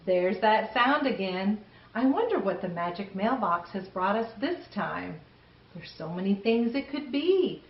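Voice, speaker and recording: A woman's voice: mid-range voice, lively intonation, unhurried, reverberant, lo-fi sound, slight background noise. A woman hosting a children's storytime, reading aloud expressively and chatting enthusiastically with young listeners. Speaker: children's storytime host and reader.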